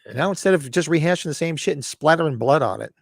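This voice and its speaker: A man hosting a casual podcast chat over beers, hoarse and scratchy-throated, rambling and cursing as he talks. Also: fairly high pitch, lively intonation, fast, dry recording, studio quality. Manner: rambling, cursing, self-interrupting. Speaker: podcast host.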